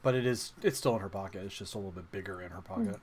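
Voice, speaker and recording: A man narrating a tabletop game as game master in a casual, halting, conversational way. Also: sits low, very animated, a little mellow. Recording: faint background noise.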